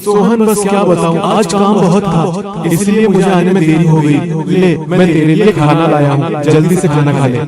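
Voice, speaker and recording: A man voicing a kind, hardworking, self-sacrificing young shoe-shine boy in an animated moral story, speaking earnestly, politely and gently. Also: sits high, moderate pace, distant mic, a loud crowd, natural voice. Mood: reassuring. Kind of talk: scripted Hindi animated moral story acting.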